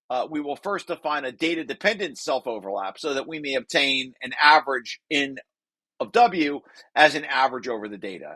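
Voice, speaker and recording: A man's voice: medium pitch, sharp tone, no echo, low-quality recording. A man reading through a technical paper draft like a lecture, explaining as he goes with informal asides and often breaking off to rephrase.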